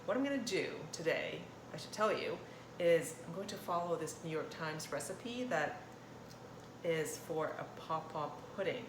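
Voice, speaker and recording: A woman's voice: low voice, expressive, a little slow. A woman from Thailand hosting a casual cooking demonstration, chatting in a rambling way and often interrupting herself. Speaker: cooking channel host. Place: indoor kitchen. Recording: roomy echo, clear kitchen noise, clattering dishes. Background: cutlery and dish clatter.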